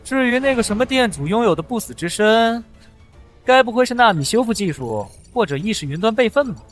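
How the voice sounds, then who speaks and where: A man voicing a cocky, fearless young hero, swaggering and confident, in an animated fantasy drama. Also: sits high, fairly quick, faint music behind. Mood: mocking, aggressive. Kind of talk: animated xianxia drama dubbing.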